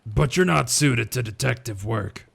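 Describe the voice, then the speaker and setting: A man playing a knowing senior police detective in an anime dub, with a gravelly voice. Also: medium pitch, expressive, measured pace, a bit bright, a little hiss or hum, full character voice. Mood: matter-of-fact.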